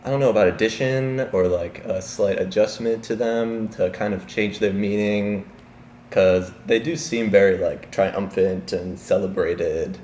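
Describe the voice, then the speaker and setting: A young man from Chicago answers interview questions with a very low voice. He hesitates and fills pauses often. The recording is roomy, with faint background noise. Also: a little mellow. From Chicago, Illinois. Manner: hesitant, many fillers. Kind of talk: casual street interview.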